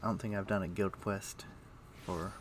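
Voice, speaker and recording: A man's voice: sits low, flat delivery, normal speed, background noise. A man giving casual game stream commentary, thinking aloud as he plays. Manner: thinking aloud while playing. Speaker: livestreamer. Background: music.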